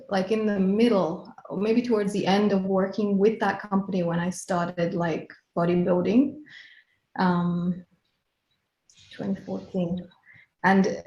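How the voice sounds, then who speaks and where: A woman's voice: medium pitch, dark tone, reverberant, poor audio. A woman from Kenya in her late thirties, a hypnotherapist chatting casually as an interview guest, laughing easily.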